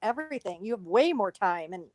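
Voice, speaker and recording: A woman's voice: mid-range voice, very animated, brisk, darkish tone, no room sound, clean studio sound. A woman appearing as a guest on a livestream, chatting casually and mostly answering in short, agreeable replies. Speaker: guest streamer.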